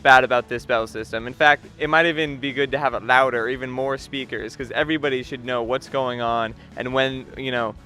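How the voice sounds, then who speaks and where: A man's voice: medium pitch, fairly even intonation, fairly quick, hardly any pauses, sharp tone. A teenage male student giving an emphatic, repetitive answer in a vox pop interview.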